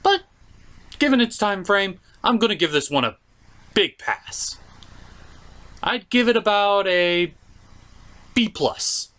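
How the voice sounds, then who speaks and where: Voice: mid-range voice, sing-song, slow, pauses often, a bit bright. A host of a puppet review show narrating a scripted comic episode recap in a theatrical, mock-dramatic manner.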